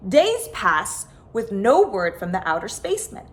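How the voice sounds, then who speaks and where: A woman reading a children's picture book aloud as a storyteller, with dramatic, expressive phrasing. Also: mid-range voice, a little slow. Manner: dramatic, stressed sound words and phrases. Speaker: storyteller, host of a story time.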